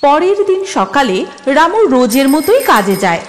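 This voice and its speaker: A woman's voice: sits high, sing-song, moderate pace, slight background noise. A woman narrating a children's story in a dramatic, scripted storytelling style. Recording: music behind the voice. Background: music.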